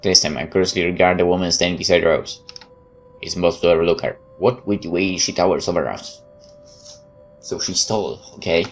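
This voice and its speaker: A deep-voiced man narrates a visual novel as a let's play host. He slips between reading the text and casual side remarks. Game music plays behind him. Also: brisk. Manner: off-the-cuff remarks between read passages.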